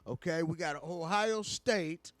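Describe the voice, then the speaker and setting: Higher-voiced American man on casual radio. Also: slow, fairly bright tone, no echo. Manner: repeats phrases, informal slang. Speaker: radio talk show co-host. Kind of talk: casual live radio talk.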